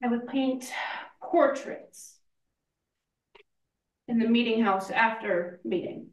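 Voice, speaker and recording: A woman playing a reflective painter looking back, speaking in measured short phrases with pauses, in a staged play reading. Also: a little high. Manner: slow, measured, pausing between short phrases. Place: indoor room, live play reading. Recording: echoey room, far from mic.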